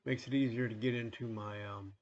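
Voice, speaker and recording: A man hosting a train-game playthrough in a rambling, relaxed way, full of fillers, a little sniffly from a coming cold. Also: mid-range voice, fairly quick, dull audio, a little hiss or hum. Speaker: gaming channel host. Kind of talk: casual gameplay commentary.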